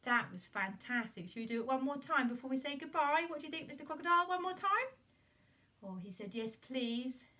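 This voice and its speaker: A woman telling a story to children in an animated puppet-show style. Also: high-pitched, quick pace. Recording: distant microphone.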